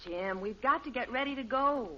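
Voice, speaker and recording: An actress playing a sensible, patient American wife and mother in a 1950s radio sitcom, placating and gently chiding. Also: high voice, normal speed. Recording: dull old radio recording.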